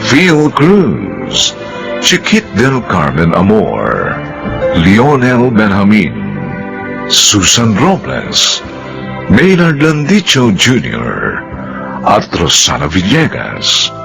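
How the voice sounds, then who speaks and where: A man narrating a radio drama from a script in a solemn, measured manner. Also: fairly low pitch, wildly swooping pitch, creaky, over music. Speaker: narrator and director.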